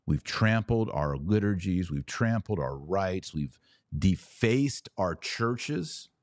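A man's voice: sits low, animated, takes it slowly, a little mellow, no room sound, clean studio sound. A man hosting a podcast, giving unscripted religious commentary in an emphatic way, repeating phrases for weight.

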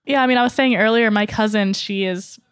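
A woman's voice: medium pitch, fairly quick, clear, resonant tone, no echo, clean studio sound. A young woman who grew up in the United States, a guest in a casual podcast talk, speaking openly and thoughtfully with easy laughs. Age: young adult. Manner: thoughtful, open, laughs easily. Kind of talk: casual group conversation.

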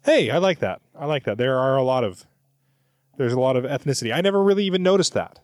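A man's voice: mid-range voice, expressive, fast, darkish tone, dry recording. Guy podcast host, chatty and rambly, reads comments out, very dry close sound. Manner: chatty, rambling, self-correcting. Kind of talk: casual chat, reading out listener comments.

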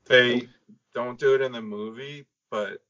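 A man's voice: mid-range voice, steady tone, measured pace, fairly bright tone, highs cut off. A man chatting casually about films as a podcast panelist.

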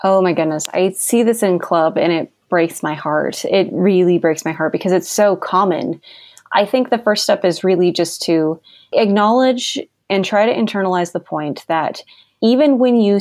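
A woman's voice: on the low side, a little slow, darkish tone, no echo, clean studio sound. A young woman guest on a podcast interview, talking in a bubbly, enthusiastic way and laughing often. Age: young adult. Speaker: guest copywriter.

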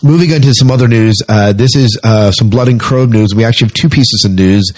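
A man's voice: medium pitch, brisk. A man hosting a podcast in a conversational, rambling style of casual news chat. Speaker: podcast host.

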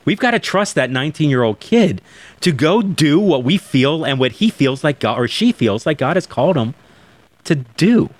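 A man's voice: on the high side, very animated, normal speed. A man in his forties, talking in an emphatic, preacherly way and turning to address listeners directly in a podcast conversation. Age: 40s. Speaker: church planting guest.

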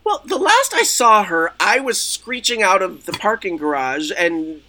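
An eccentric, self-dramatizing woman, played by an improv comedian. Her voice is forceful and sharp, and it leaps up and down. She is earnest and gushing, treating everything with theatrical sincerity. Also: medium pitch, big pitch swings, measured pace, a little hiss or hum. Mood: self-justifying. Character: eccentric, self-dramatizing woman role-play therapy enthusiast. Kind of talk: improvised role-play comedy.

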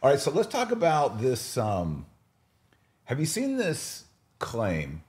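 A man's voice: mid-range voice, wildly swooping pitch, takes it slowly, a little mellow. A man hosting a podcast in a casual, conversational way, with informal, slangy phrasing. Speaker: podcast host.

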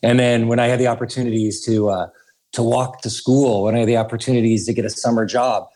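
A man's voice: medium pitch, fairly even intonation, quick pace, warm tone. A man raised in Idaho, guest on a podcast, speaking earnestly and thoughtfully with frequent restarts. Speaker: school research director, guest. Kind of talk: podcast interview.

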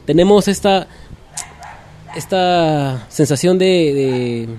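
A man hosting an interview, asking questions in a relaxed conversational way. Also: on the high side, slow, slight background noise. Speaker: interviewer host. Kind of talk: casual interview chat.

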